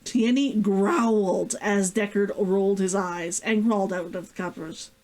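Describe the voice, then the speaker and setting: A woman's voice: mid-range voice, a little mellow. A woman hosting a stream as an author, with a giggly, effusive manner. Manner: giggly, effusive, drawn-out sighing interjections. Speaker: vtuber host and author. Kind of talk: casual excited chat and reading aloud from own novel.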